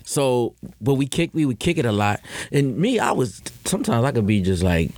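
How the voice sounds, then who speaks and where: A man's voice: mid-range voice, sing-song, normal speed, darkish tone. A man from the United States, a podcast guest telling stories in slangy, rambling casual chat, full of fillers and restarts.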